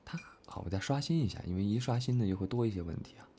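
Man, voice actor, lowish pitch, lively intonation, earnest, halting, repeated phrases, casual reflective chat. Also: a little hiss or hum. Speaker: voice actor discussing an audio drama.

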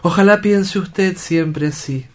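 A man playing a grave, compassionate doctor in a radio drama, formal and deliberate. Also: sits high. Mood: earnest.

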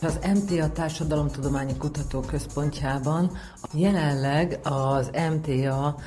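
A woman researcher explaining her work in a formal, measured, carefully worded way. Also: low voice, fairly even intonation, music in the background. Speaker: social psychologist researcher.

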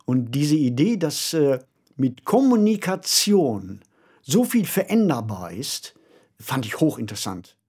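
Husky man with lively intonation. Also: fairly high pitch, unhurried. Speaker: podcast co-host. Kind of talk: conversational discussion of science fiction.

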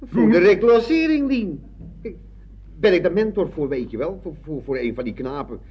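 A man's voice: high voice, expressive, normal speed, slight background noise. An actor playing an earnest, chatty male employee in a radio comedy, explaining himself defensively in colloquial speech. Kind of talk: 1970s radio comedy acting. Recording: muffled old radio recording.

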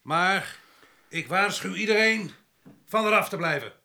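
An actor playing a rough, jealous ex-convict husband in a radio drama, coarse, domineering and mocking, with a gravelly voice. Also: male voice, high-pitched, expressive, measured pace, sharp tone, a little hiss or hum, full character voice. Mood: threatening.

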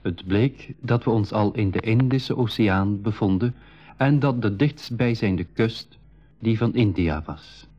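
Man playing a curious low-voiced young adventurer. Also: a little slow, a little mellow, faint music behind, natural voice. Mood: narrating. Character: Piet, curious young boy adventurer, Marleen's brother, narrating. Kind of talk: 1980s school radio drama, narration and dialogue. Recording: dull lo-fi radio recording.

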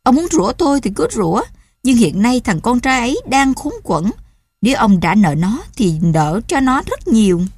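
A woman's voice: medium pitch, lively intonation, moderate pace, dark tone, dry recording, lightly acted. A woman reading a storybook voices an anxious fisherman's wife who pleads with her husband in a raised, urgent voice. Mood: pleading. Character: anxious middle-aged fisherman's wife and mother.

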